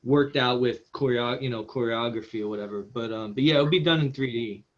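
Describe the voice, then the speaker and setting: A man's voice: mid-range voice, fairly quick, highs cut off. A man speaking as a screenwriter in a casual feedback discussion, in a hesitant, self-deprecating manner.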